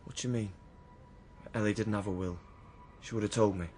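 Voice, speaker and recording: A man's voice: lowish voice, fairly even intonation, brisk, a little mellow, slight background noise, lightly acted. An actor playing a moody young working-class drifter who narrates and lives out his story in a radio drama. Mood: subdued, guarded.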